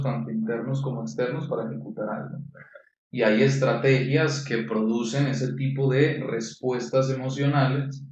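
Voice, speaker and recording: A young man from Colombia answers an interviewer's questions in a measured, reflective way, often restarting his sentences. He sits away from the microphone. The room is heavily echoey and the sound is dull. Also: mid-range voice, a little mellow, distant mic. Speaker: guest, neurolinguistic programming coach.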